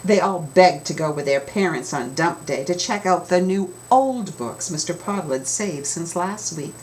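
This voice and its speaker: A woman reading a children's storybook aloud with expressive, dramatic emphasis, as an author telling her own tale. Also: lowish voice, moderate pace, a little hiss or hum, rough recording.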